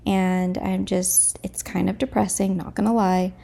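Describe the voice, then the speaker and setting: Woman, 30s, home vlogger. Casual, open chat to camera. Slightly stuffed up, with a rough, creaky edge to the voice. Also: mid-range voice, little pitch movement, a little slow, mellow. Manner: candid and chatty, thinking aloud.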